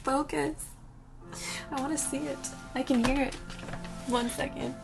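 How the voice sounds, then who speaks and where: A woman's voice: high voice, steady tone, a little slow, music in the background. A young woman answering viewer questions in a rambling, casual chat, breaking off mid-sentence and laughing between thoughts.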